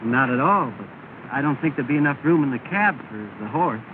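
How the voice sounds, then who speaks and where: An actor playing a courteous, mild but quietly firm young gentleman in a 1930s film, speaking politely and with measure. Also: mid-range voice, animated, brisk, narrow, tinny audio, lightly acted. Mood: polite, pleased. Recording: thin, noisy 1930 film soundtrack.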